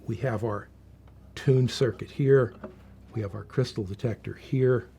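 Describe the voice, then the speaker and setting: A man's voice: medium pitch, steady tone, a little slow, creaky, warm tone. A man giving a plain, unscripted, instructive explanation as he demonstrates equipment. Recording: faint background noise.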